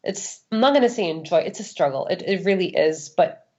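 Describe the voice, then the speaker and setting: A woman's voice: lowish voice, animated, a little fast, darkish tone. A woman from Saudi Arabia giving candid personal testimony as an interview guest, frank and emphatic, repeating phrases for stress. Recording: dull, lo-fi sound.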